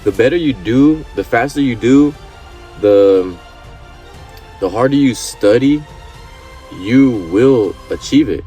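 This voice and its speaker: A man's voice: medium pitch, expressive, takes it slowly, pauses often, dark tone, faint music behind. A man in his early twenties giving an earnest motivational talk to camera, repeating his points and swearing casually. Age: 20s. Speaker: vlogger.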